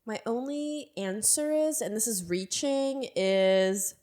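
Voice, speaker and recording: A woman's voice: a little high, takes it slowly, warm tone. A young woman, tipsy and giggly, talking playfully and rambling as a podcast guest, a native Russian speaker. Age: young adult. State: drunk. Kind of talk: tipsy casual podcast chat.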